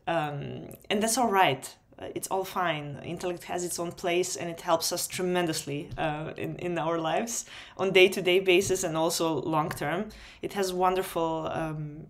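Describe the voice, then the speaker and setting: A woman whose first language is Serbian gives an online lecture in English. Her voice sits a little low, and she speaks in an unhurried way, filling pauses with ums and restarting phrases. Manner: unhurried, many ums and restarts, light laughs. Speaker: webinar host. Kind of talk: spoken lecture.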